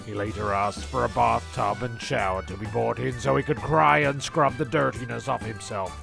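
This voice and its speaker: A man's voice: medium pitch, fairly even intonation, normal speed, vocal fry, music in the background. A man giving tongue-in-cheek scripted narration for a comedy serial, stumbling and repeating phrases as he goes.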